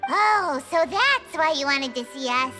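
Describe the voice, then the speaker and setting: Squeaky, extremely high-pitched fairy companion. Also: female voice, measured pace, exaggerated voice. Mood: agreeable. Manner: chatty, expressive, questioning. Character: tiny, chirpy, talkative childlike fairy companion. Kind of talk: animated video game voice acting. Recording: prominent music behind voice.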